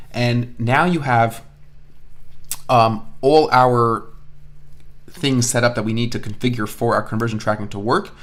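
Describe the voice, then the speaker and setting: A man with a mid-pitched voice teaches an online software tutorial. He explains each step in a conversational way, thinking aloud as he demonstrates. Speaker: online marketing instructor. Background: voice-over on a screen recording.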